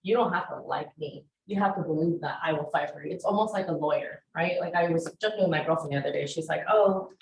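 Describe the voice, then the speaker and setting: A woman teaching a real estate class, chatty and energetic, with many fillers. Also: deep voice, quick pace, few pauses, slight background noise. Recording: roomy classroom echo.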